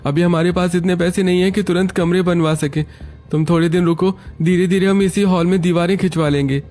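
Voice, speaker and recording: A man's voice: high voice, steady tone, brisk, a little mellow, soft background music, natural voice. A man playing a young brother-in-law and husband in a cartoon family story, earnest and placating. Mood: pleading.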